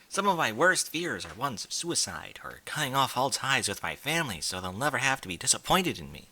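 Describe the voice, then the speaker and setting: A man's voice: medium pitch, sharp tone. A man reading fan fiction aloud in an informal, blunt way, with incredulous asides in casual speech. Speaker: fan fiction reader and commentator.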